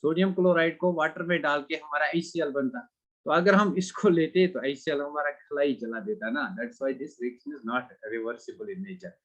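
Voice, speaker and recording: A man teaching an exam-prep chemistry lecture, switching between Hindi and English and quizzing his students. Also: on the high side. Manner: mixes Hindi and English, asks students questions; slow, deliberate English phrases, rapid Hindi stretches. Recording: dull lo-fi recording.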